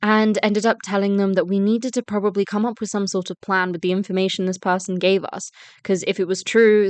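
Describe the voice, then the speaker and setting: Woman acting wary student girl, defiant, smooth clear voice, close dry recording, web story drama. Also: medium pitch, no room sound, close to an everyday voice. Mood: recounting, tense. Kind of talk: first-person story narration and voice-acted dialogue.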